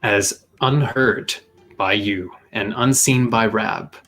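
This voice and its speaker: A man with a low-pitched voice runs a tabletop game as game master. He describes scenes in detail, feeling his way through the wording, with music playing behind him. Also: takes it slowly, a bit bright, low-quality recording. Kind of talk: improvised tabletop game narration.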